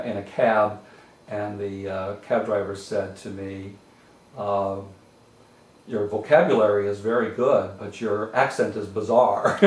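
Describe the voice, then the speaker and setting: An older man from western Massachusetts, a native French speaker, answering interview questions in a measured, reflective way with many pauses. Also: lowish voice, a little mellow. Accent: mix of French Canadian and American. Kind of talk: oral history interview. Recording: roomy audio from a distance with faint hiss.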